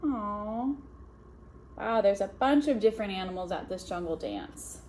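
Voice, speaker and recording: A woman's voice: medium pitch, expressive, unhurried, a little mellow, a little hiss or hum. A woman reading a children's picture book aloud as a teacher, expressively and with simple asides to young listeners.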